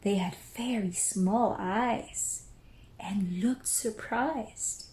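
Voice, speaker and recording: A woman storyteller reading a children's book aloud expressively and deliberately, with careful pauses. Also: mid-range voice, darkish tone. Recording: roomy echo.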